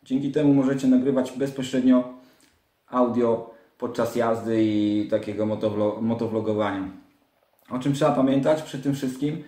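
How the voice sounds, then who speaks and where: Echoey, mellow-voiced man demonstrating gear. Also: mid-range voice. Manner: informal, step-by-step, halting with small interjections. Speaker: moto vlogger presenting a gear setup guide. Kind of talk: casual hands-on tutorial. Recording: echoey, roomy indoor sound.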